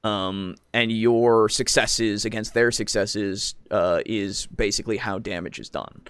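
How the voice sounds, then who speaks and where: A man's voice: fairly low pitch, unhurried, a bit bright, smooth, no room sound, studio quality. A man co-hosting a casual tabletop roleplaying discussion, explaining things in a rambling way with some swearing. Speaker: podcast co-host.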